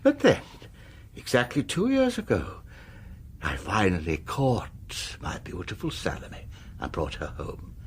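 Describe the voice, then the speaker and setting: An actor playing a pompous, sinister, possessive English lord of a manor in a radio drama, speaking in measured, theatrical oratory with a husky voice. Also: male voice, on the low side, wildly swooping pitch, a little hiss or hum. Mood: menacing, possessive.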